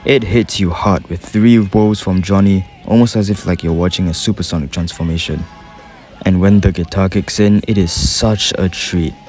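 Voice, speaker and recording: Deep-voiced young Singaporean man. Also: steady tone, moderate pace, darkish tone. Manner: casual, enthusiastic, swearing, slang. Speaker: YouTuber ranking game music. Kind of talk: scripted commentary voice-over. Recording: faint music behind the voice.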